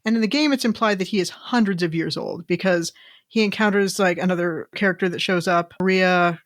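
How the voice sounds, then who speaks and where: A woman's voice: fairly low pitch, fairly quick, dry recording, clean studio sound. A woman co-hosting a podcast, chatting casually and telling things in a wry, self-deprecating fan's way. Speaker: podcast co-host. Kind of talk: casual storytelling chat.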